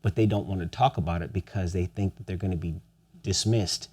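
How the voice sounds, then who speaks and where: A man's voice: low voice, steady tone, fairly quick, a little mellow, slightly rough. A young man co-hosting a podcast, talking earnestly and asking rhetorical questions. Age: young adult. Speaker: podcast co-host. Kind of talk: casual podcast conversation.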